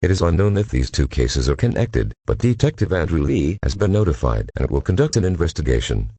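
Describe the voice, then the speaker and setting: A man with an extremely deep voice delivers a formal emergency alert. His tone is husky and his pitch moves a lot as he reads, in the official manner of a public announcer. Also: sing-song, brisk, dark tone, no echo.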